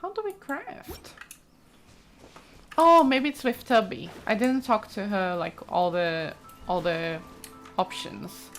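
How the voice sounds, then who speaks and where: A woman's voice: mid-range voice, expressive, slow, pauses often, fairly bright tone, faint music behind. A woman giving casual, chatty let's play commentary, thinking aloud with frequent exclamations as she explores a game. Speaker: gaming streamer, let's play host.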